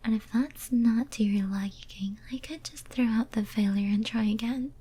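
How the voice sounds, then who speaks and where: A woman playing a lonely, devoted, possessive female demon in an ASMR roleplay, whispering tenderly and intimately. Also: on the high side. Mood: tender, yearning. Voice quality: soft breathy whisper. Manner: tender, clingy, intimate, slow and halting.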